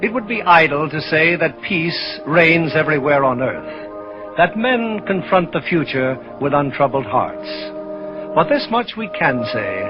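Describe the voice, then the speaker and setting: A man hosting a radio theater, giving a formal scripted 1940s address on a dull old recording. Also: fairly high pitch. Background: music.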